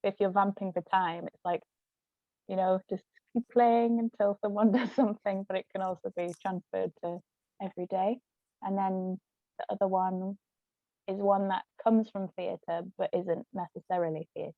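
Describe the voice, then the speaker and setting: A woman's voice: medium pitch, steady tone, moderate pace, creaky, dry recording, narrow, tinny audio. A woman, a guest author and actress, explaining terms in casual conversation.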